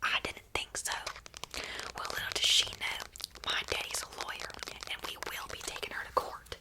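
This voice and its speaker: A woman's voice: moderate pace, pushed and bright, rough recording. A woman playing a haughty, wronged schoolgirl in an ASMR comedy roleplay, sassy, dry and aggrieved.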